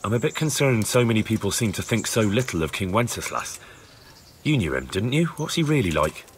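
Casting: curious young medieval man for a game, lowish voice, very varied intonation, respectful and plain-spoken. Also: very animated, fairly quick, a little hiss or hum. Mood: earnest curiosity. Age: young adult. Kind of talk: video game dialogue acting.

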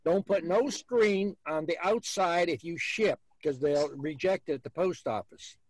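A man's voice: fairly high pitch, unhurried, dry recording. A man, hosting a beekeeping video call and giving blunt, folksy advice. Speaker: beekeeper host.

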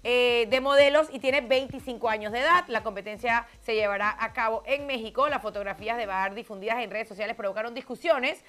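High-pitched woman cohost, playful chatty talk, handheld mic in a small studio. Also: a little fast, few pauses, pushed and bright. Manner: chatty, playful, reads from notes. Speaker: co-host. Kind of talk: casual pageant chat.

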